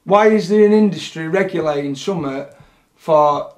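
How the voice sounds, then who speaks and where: A man with a fairly high voice speaks bluntly and with passion, circling back over his words. He sounds a little off-mic in an echoey room. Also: moderate pace. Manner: blunt, passionate, repeats himself. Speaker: CB radio operator. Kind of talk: casual interview.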